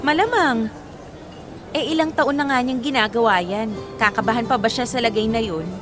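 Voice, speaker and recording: A woman's voice: on the high side, normal speed, lightly acted. A woman playing a nervous, bashful young woman in a radio drama, flustered and hesitant as she hides her feelings. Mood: shy, defensive. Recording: music bed under the voice.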